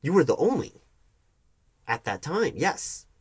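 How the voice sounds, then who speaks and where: Defensive, stammering man acting as a ex-boyfriend, swooping pitch. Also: sing-song, slightly rough, heavily acted. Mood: defensive, exasperated. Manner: argumentative, halting with repeated, stammered words. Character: defensive, evasive male hiring manager arguing with his ex. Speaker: roleplay performer. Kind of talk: one-sided audio roleplay acting.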